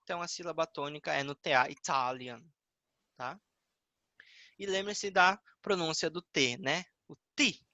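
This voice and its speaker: A male English teacher with a Brazilian Portuguese accent, explaining a lesson patiently and repeating words slowly. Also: on the high side, sharp tone, no echo, dull audio.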